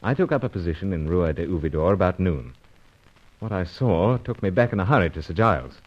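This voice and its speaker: Deep, clipped secret agent. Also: male voice, animated, a little fast. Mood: reflective narrating. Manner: clipped phrasing with dropped words, hesitant, understated. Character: gentlemanly pipe-smoking British secret agent, wry and modest. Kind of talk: old-time radio serial acting and narration. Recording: dull, lo-fi old radio recording.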